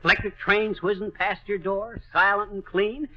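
An actor playing a folksy, self-important small-time real estate hustler in a slangy 1930s radio comedy style. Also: male voice, high voice, steady tone, normal speed, faint music behind. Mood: scheming, grandiose. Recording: dull vintage 1930s radio broadcast.

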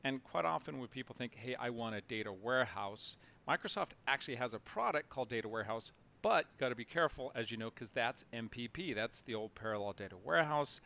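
A man's voice: medium pitch, lively intonation, quick pace, gravelly, pushed and bright. A man hosting an interview, asking loose questions full of fillers over a video call. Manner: hesitant, filler-heavy questions. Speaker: host. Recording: slightly dull call audio.

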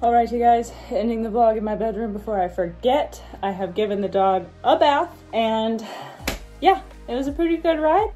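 A woman's voice: fairly high pitch, mellow. A young woman in her twenties chatting casually in a vlog, filming on her phone at a barn and in her bedroom. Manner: chatty, cheerful, baby-talks to animals. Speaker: vlogger, horse rider. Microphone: phone camera held close.

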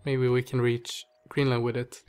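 A man's voice: mid-range voice, flat delivery, normal speed, darkish tone, clean tone. A man with a stuffy nose and a slightly strained voice, hosting casual strategy game commentary, thinking aloud with many fillers. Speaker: gaming channel host.

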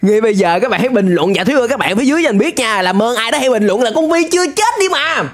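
A young man with a Southern Vietnamese accent hosting a reaction video with excited, exclamatory commentary. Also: very high-pitched, racing. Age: young adult. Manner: exclamatory, animated. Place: indoor room, gaming setup. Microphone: close desk microphone. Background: music and cartoon soundtrack.

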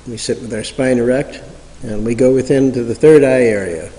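Mellow man leading prayerful meditation. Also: medium pitch. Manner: slow, measured, reverent, long pauses. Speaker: meditation leader. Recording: reverberant hall sound.

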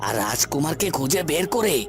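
Man acting a scheming young villain, high-ish voice, music. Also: very animated, brisk. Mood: menacing. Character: scheming young villain, a minister's son, smug and murderous. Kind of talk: Bengali cartoon voice acting. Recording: music behind the voice. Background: clear background music.

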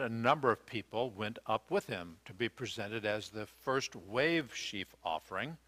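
Older man, church preacher, bright tone, earnest questions, halting fillers, sanctuary. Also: fairly low pitch. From United States. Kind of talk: sermon.

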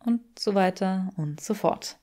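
A woman's voice: medium pitch, measured pace, mellow. A woman reading fiction aloud as an audiobook narrator.

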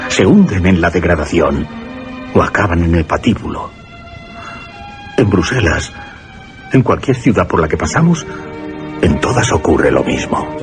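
An actor playing an earnest, troubled young gentleman in a radio horror drama, emphatic and formal. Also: low-pitched, big pitch swings, unhurried, long pauses, music in the background. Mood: troubled, indignant.

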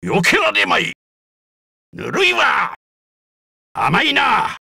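An actor voicing a haughty, menacing yeti combat robot in a Japanese video game, with an imperious, scornful, declamatory delivery and a gravelly voice. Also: male voice, high-pitched, wildly swooping pitch, slow pace, bright, forceful tone, no echo, studio quality, heavily acted. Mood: battle fury.

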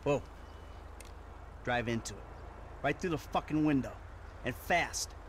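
A man's voice: mid-range voice, a little slow, a bit bright, close to an everyday voice. Dude doing a blunt threatening guy, cusses a lot, noisy game sound. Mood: menacing. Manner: gruff, threatening, swears a lot. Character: middle-aged man, menacing and blunt. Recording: game audio with background noise.

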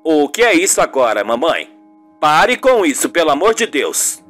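A man's voice: a little high, sing-song, moderate pace, a bit bright, soft background music, voice pushed down. A man playing a defensive, exasperated young journalist son in an amateur radio soap.

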